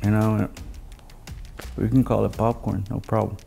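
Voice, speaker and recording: Older male interviewee, mellow and crackly, halting anecdotal delivery, casual recollection. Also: on the low side, little pitch movement, vocal fry, faint music behind. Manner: halting, anecdotal storytelling with quoted dialogue. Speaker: loudspeaker engineer interviewee. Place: living room of a home, indoors.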